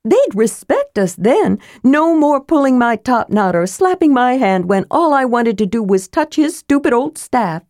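A woman's voice: a little high, sing-song, measured pace, few pauses, a little mellow, no echo, studio quality, voice pushed up. A woman voicing a small, curious and chatty male kender adventurer in a fantasy audiobook, with earnest, childlike enthusiasm. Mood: eager.

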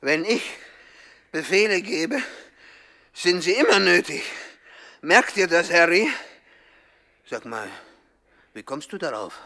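Hot-tempered crime boss for a radio comedy: piercing, raised voice, bossy, barks commands. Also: male voice, high voice, lively intonation, slow pace, pauses often, bright, forceful tone, full character voice. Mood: imperious. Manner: commanding, blustering. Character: hot-tempered, domineering male gangster boss. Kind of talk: 1960s German radio comedy crime acting.

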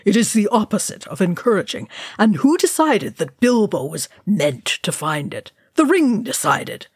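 A woman voicing a bitter, stubborn male dwarf in a storybook, with a forceful, grating delivery. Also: medium pitch, sing-song, moderate pace, slightly rough, dry recording, studio quality. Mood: angry, sarcastic.

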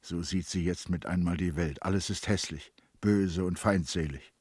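Very deep male radio play narrator. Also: fairly even intonation, moderate pace, darkish tone, husky, no echo, studio quality. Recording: faint background noise.

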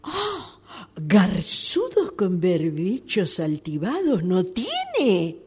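A woman's voice: mid-range voice, wildly swooping pitch, very slow, darkish tone, husky, exaggerated voice. A woman playing a fussy, indecisive, affected lady customer with an Argentine accent in a comic radio play. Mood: fussy, dithering. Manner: theatrical, dithering, pretentious. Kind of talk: comic radio theatre acting.

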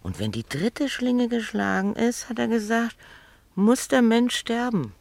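Superstitious young farm maid, slow delivery, animated melody, timid, deferential, radio drama. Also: female voice, a little high, creaky, no room sound. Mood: fearful, secretive. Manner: hesitant, deferential, naive. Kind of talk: German radio drama acting.

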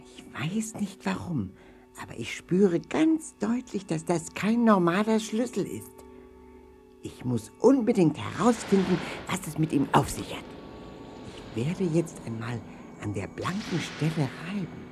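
A man's voice: sits high, very animated, unhurried, gravelly, a little mellow, faint music behind, heavily acted. A man playing a thoughtful, curious doctor in a children's audio play, pondering aloud with musing hums. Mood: pondering, intrigued. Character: thoughtful, curious male doctor, leader of animal friends.

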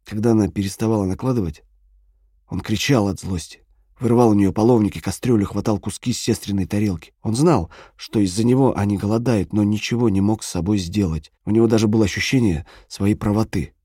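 A man narrating a literary audio drama in a measured, sombre storytelling manner. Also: fairly low pitch, warm tone, husky, dry recording, clean studio sound. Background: faint music.

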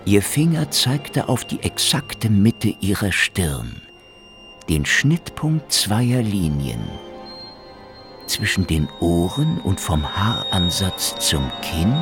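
A man's voice: low-pitched, very animated, takes it slowly, soft background music, exaggerated voice. A man playing a cynical Soviet secret police investigator, telling his story in a brooding, sardonic hardboiled radio-drama manner. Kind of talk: noir radio drama, first-person narration.

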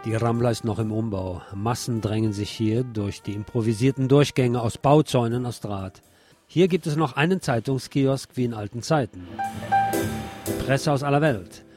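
A man's voice: mid-range voice, moderate pace. A man hosting a radio show, reading a scripted literary essay in short clipped phrases with a sardonic, theatrical delivery. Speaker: radio host. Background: music.

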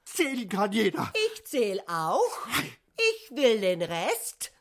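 An actress playing a greedy, domineering housewife in a radio play, chanting insistently in short slogans. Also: female voice, mid-range voice, huge pitch swings, slow, full character voice. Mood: greedy, commanding. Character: domineering, greedy housewife obsessed with her inheritance. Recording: loud music under the voice.

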